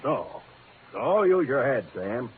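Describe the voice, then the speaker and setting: A muffled, slightly noisy vintage radio recording of a veteran American police lieutenant. He is middle-aged, blunt and exasperated, with pitch that moves around a lot. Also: big pitch swings, slow pace. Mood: insistent. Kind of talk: 1940s radio detective acting.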